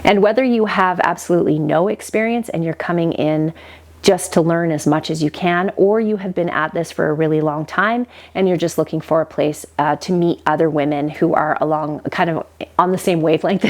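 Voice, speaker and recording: A woman's voice: on the low side, darkish tone. A woman with a stuffy, nasal head cold, hosting a casual, chatty kitchen vlog at home. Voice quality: nasal, congested. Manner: friendly, chatty, rambling with fillers. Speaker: homestead channel host.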